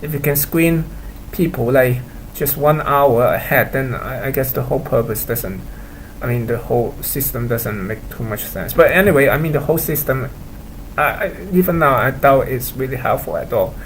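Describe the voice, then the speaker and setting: Halting man, Cantonese native, complaining. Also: medium pitch, a little hiss or hum, low-quality recording. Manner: halting, full of fillers and restarts, complaining. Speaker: vlogger. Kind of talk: casual monologue to camera.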